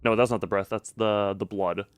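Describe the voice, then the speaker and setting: A man's voice: lowish voice, steady tone, moderate pace, clear, resonant tone, no echo. A man giving casual live gameplay commentary as a streamer, musing and hesitating as he thinks aloud. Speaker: game streamer.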